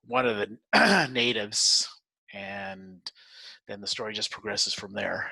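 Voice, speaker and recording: A man's voice: on the low side, sing-song, slow, creaky, sharp tone, no room sound, rough recording. A man co-hosting a casual podcast, explaining things in a hesitant, piecemeal way. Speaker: podcast co-host.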